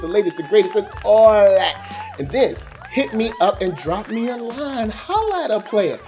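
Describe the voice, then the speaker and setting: Higher-pitched American man hosting over music. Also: a little mellow. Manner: conversational, slangy, addressing viewers directly. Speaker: host of an animation review show. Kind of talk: casual review commentary to camera.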